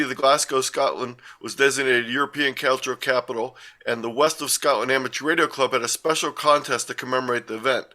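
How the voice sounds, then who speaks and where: A man's voice: medium pitch, pushed and bright. An older man from the United States giving a casual talk with slides, rambling, with many fillers and self-corrections. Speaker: guest presenter.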